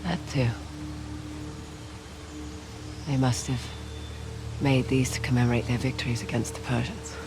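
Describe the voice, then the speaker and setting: A woman playing a confident, quick-witted treasure hunter in a video game, with a wry, breezy way of talking. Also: very deep voice, fairly even intonation, over music. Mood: thoughtful. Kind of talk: naturalistic video game cutscene acting.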